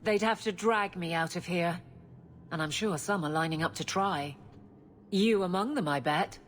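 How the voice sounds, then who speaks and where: An actress playing a dutiful captain of the city guard in a video game, with a dry, matter-of-fact manner. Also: female voice, lowish voice, normal speed, a little hiss or hum, close to an everyday voice. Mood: firm, candid. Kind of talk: video game cutscene acting.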